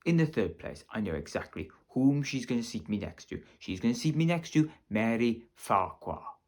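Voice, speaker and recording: A man playing a flippant high-society Victorian gentleman, affected and indignant, in a stage comedy of manners. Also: breathy edge.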